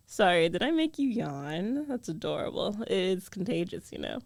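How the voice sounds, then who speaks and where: A woman acts out a young female roommate in love, jealous and guarded but tender underneath. Her voice has a noticeable creaky fry and sits very close and dry. She speaks in an intimate, hesitant girlfriend ASMR style, full of feeling. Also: medium pitch, sing-song, no echo, full character voice. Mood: tired, soothing. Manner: hesitant, emotional, intimate delivery with trailing pauses.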